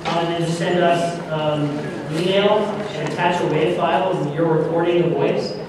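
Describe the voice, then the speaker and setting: High-pitched man presenting in an echoey hall. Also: steady tone, normal speed, a crowd in the background. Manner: informal, instructing. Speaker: audio drama producer directing and presenting. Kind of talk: live convention presentation to an audience. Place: large convention hall, live presentation. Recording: echoey, noisy convention hall, distant mic.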